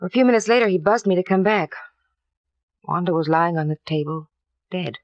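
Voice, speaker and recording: An actress playing a frightened, defensive American doctor's wife, earnest and halting, in a 1950s radio drama. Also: female voice, on the low side, dry recording, natural voice. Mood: haunted, confessing. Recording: dull, dry vintage radio recording.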